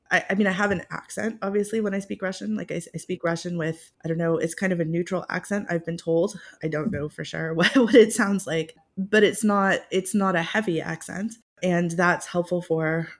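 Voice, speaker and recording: A woman talking about her work as a professor in a casual, self-deprecating way, laughing now and then. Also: fairly low pitch. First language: English. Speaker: guest, Russian literature professor. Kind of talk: conversational interview.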